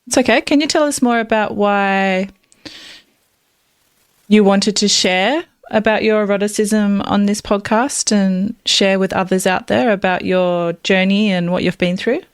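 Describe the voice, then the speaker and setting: A woman hosting a podcast interview, her mid-pitched voice smooth and resonant. She asks her questions gently and offers soft, encouraging murmurs. The recording is close and dry. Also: fairly even intonation, measured pace, dry recording. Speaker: podcast host.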